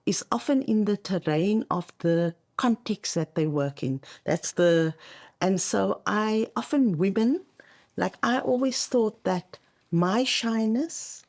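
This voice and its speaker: A woman's voice: mid-range voice, a little mellow. South African woman, Afrikaans first language. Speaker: coach, interview guest. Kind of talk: conversational podcast interview.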